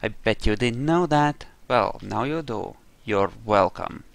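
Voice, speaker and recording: A man's voice: lowish voice, expressive, unhurried, gravelly, no echo. A man giving casual commentary on a roleplaying game playthrough, rambling as he thinks aloud, with a dry, wry sarcasm. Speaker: gamer narrating a roleplay playthrough.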